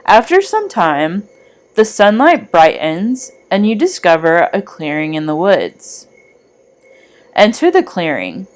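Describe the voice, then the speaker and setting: A woman reading a scripted guided meditation in a soothing, deliberate manner with long pauses. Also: on the low side, huge pitch swings.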